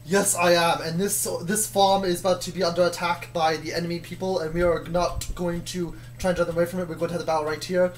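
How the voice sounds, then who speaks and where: A stubborn soldier, played by a woman in a fairly low voice. The delivery is matter-of-fact and deadpan, rambling on argumentatively in an improvised comic story. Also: fast talker, hardly any pauses, a little hiss or hum, lightly acted.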